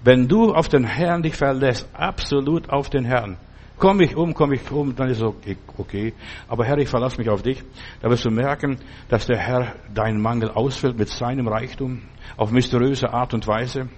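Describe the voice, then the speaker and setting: A man's voice: mid-range voice, moderate pace, a little mellow, breathy edge. An older man preaching an earnest, exhorting sermon, speaking straight to the listener and repeating phrases for emphasis.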